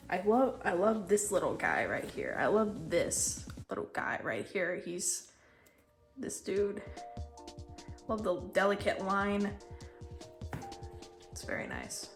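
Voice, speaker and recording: Stuffy-nosed young woman flipping thru her sketchbook, low voice, tired and swears a lot, faint music behind. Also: lively intonation, a little slow, long pauses. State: congested. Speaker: artist showing a sketchbook. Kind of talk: casual sketchbook tour.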